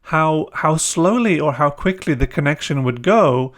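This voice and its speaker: A man's voice: on the high side, expressive, measured pace, a bit bright, slight background noise. A man hosting a casual discussion on a video call, friendly and chatty while playing the learner. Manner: friendly, chatty, light laughs. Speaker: host, language educator.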